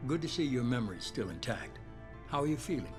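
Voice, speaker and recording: Casting: male actor as calculating game mastermind, measured and persuasive, mid-range voice, music underneath. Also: mid-range voice, sing-song, lightly acted. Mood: cool, controlled. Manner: measured, persuasive, self-assured. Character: smooth, calculating male leader of a secretive organization. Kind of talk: video game cutscene acting. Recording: music behind the voice.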